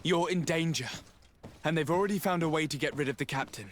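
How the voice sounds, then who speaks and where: An actor playing a calm, authoritative male diplomat in a video game, speaking formally. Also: a little high, slight background noise, close to an everyday voice. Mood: urgent. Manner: measured, formal.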